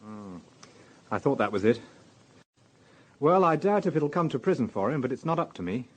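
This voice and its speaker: A wry police detective in a radio drama. His British voice is husky and mid-pitched, with lively movement and a dry, probing, conversational delivery. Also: moderate pace, low-quality recording. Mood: wry, brisk. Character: wry, shrewd, easygoing male police detective. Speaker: actor and narrator. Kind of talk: naturalistic radio drama with first-person narration.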